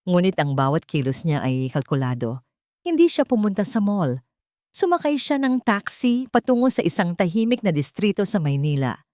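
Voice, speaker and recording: A woman's voice: medium pitch, a little mellow, no room sound, studio quality. A woman with a Filipino accent narrating a scripted romance drama in a dramatic storytelling style.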